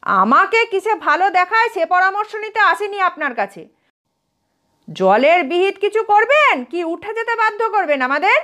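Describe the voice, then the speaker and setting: A woman voicing a sharp-tempered, haughty young college woman in a quarrel, in a dramatised storybook reading. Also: very high voice, sing-song, normal speed, pitched above the performer's own voice, full character voice. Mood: indignant, sharp.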